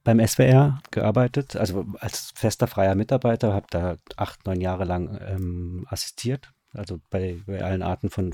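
Male director guest, middle-aged, very low relaxed voice, conversational with halting restarts. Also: no echo. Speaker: radio drama director, interview guest. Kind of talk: casual interview conversation. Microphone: close mic in a remote online call.

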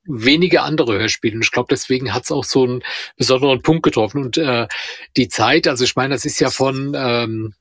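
A man talks reflectively as a podcast co-host. His voice is mid-pitched and slightly rough, recorded close and dry. He feels his way through halting phrases as he searches for words. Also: fairly bright tone, dry recording. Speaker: podcast co-host discussing radio plays. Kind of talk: casual reflective discussion.

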